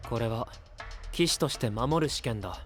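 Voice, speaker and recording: An actor playing a self-possessed young knight with a calm, measured, teasing manner in a fantasy audio drama. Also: male voice, medium pitch, over loud music, lightly acted. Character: cool, elusive, self-possessed young male knight candidate.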